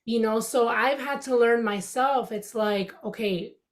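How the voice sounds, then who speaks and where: A woman's voice: fairly high pitch, unhurried. Candid woman with a Dominican accent. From Dominican Republic. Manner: candid, reflective, with many "you know" fillers. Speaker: podcast guest, author. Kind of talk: casual interview conversation.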